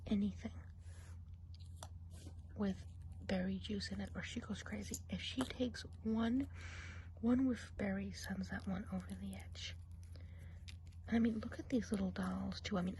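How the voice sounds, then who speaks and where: A woman voicing a gossipy woodland witch in a breathy, confiding whisper for an ASMR roleplay. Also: mid-range voice, slight background noise, close to an everyday voice, poor audio. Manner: gossipy, confiding, soft-spoken. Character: gossipy woodland witch giving a whimsical eye exam.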